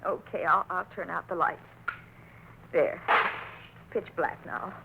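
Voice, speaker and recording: A woman's voice: lowish voice, fairly even intonation, slow pace, vocal fry, husky, phone-quality sound, full character voice. An actress playing a frightened, worried woman in a 1940s radio drama, anxious and melodramatic. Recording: noisy vintage 1940s radio recording.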